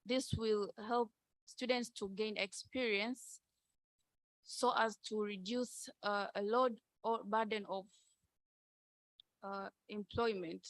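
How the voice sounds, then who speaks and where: A woman giving an earnest contribution from the floor of a conference, measured and with some fillers. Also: mid-range voice, fairly even intonation, dry recording. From Tanzania. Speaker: conference participant.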